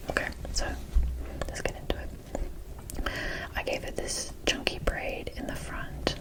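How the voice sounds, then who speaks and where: A woman doing casual, unscripted ASMR in a breathy whisper, chatting in a rambling, gushing way close to the mic. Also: poor audio. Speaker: ASMR video creator.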